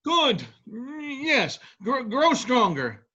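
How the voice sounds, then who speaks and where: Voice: high voice, huge pitch swings, very slow, bright, forceful tone, slightly rough, muffled recording, full character voice. A performer playing an ambitious, self-absorbed inventor father in a theatrical staged reading, with an exclamatory, dramatic delivery. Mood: driven.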